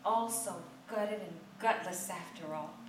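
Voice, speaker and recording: A woman's voice: on the low side, unhurried. A woman performing a literary narration as one of the readers in a live staged reading. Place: theater stage, recorded live. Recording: roomy, distant live theater recording, noisy.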